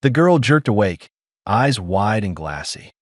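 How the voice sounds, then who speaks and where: A man narrating a sci-fi audiobook in a dramatic, measured storytelling manner. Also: on the low side, sing-song, no echo, clean studio sound. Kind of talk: scripted narration.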